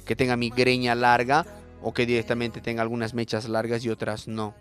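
A man's voice: medium pitch, monotone, fairly quick, over loud music. A young man with a Bolivian accent hosting a casual, comic radio show.

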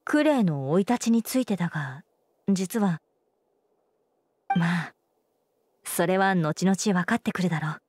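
A woman's voice: fairly low pitch, lively intonation, a little slow, long pauses, darkish tone. A woman voicing a responsible, firm but caring female knight commander with formal, composed speech in an anime video game. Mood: fond, composed. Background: background music.

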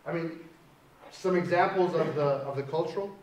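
A man's voice: a little high, normal speed, a little mellow. A middle-aged man giving a university poetry lecture, chatty, who keeps checking in with "right?" and reads a poem aloud. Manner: conversational, keeps asking "right?". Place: lecture hall. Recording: echoey hall from far off, faint hiss.

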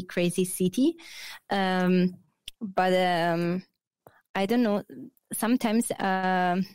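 A woman's voice: fairly low pitch, darkish tone, smooth, dry recording. A woman in her thirties from Naples with an Italian accent, a guest on a video-call interview, talking hesitantly with many fillers and restarts over a headset mic. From Italy, Naples. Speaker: guest, architect. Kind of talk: casual interview. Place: bright office.

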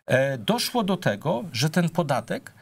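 A man's voice: a little high, very animated, creaky. Middle-aged man, politician on a TV panel, argumentative, hesitant fillers, studio mic. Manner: measured, argumentative, with frequent hesitations. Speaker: politician guest. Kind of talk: political TV debate.

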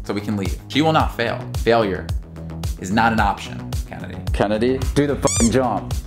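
A man's voice: lowish voice, lively intonation, a little fast, creaky, music in the background. An American man in his twenties talking casually as a vlog host, with a teasing, pushy, encouraging manner. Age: 20s. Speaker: vlog host, brother coaching his sister. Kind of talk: casual vlog chat and coaching.

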